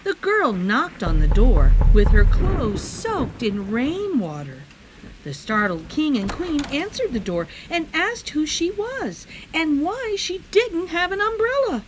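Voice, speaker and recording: Animated, high-voiced woman reading a storybook. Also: takes it slowly, noisy background. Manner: animated, with playful asides. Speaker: storybook read-aloud host. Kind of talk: expressive children's story narration.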